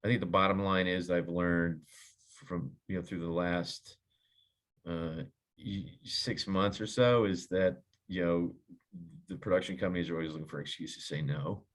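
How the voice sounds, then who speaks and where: A man talking casually and haltingly on a video call, as a scriptwriter in an online meeting. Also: low voice, fairly even intonation.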